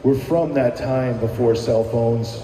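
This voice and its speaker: A man's voice: mid-range voice, fairly even intonation, slow pace, dark tone. A middle-aged man from New Jersey, a musician casually reminiscing in an interview with lots of fillers and restarts. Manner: rambling, many fillers and restarts. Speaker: musician guest. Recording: echoey room with long reverb.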